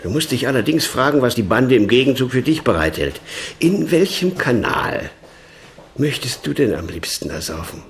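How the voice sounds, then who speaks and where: An actor playing a cynical, blunt police chief in a German radio crime drama, sardonic and emphatic. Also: male voice, medium pitch, very animated, normal speed, slight background noise. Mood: grudging, blunt. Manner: sardonic, emphatic, swinging from muttered to loud.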